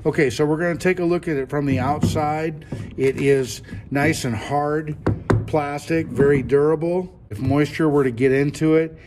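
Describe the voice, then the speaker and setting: A man's voice: on the high side, steady tone, normal speed, very noisy. A man in his fifties giving a casual, step-by-step product demo in a classroom, talking through each move and repeating himself as he works. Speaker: product reviewer. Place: classroom at a table.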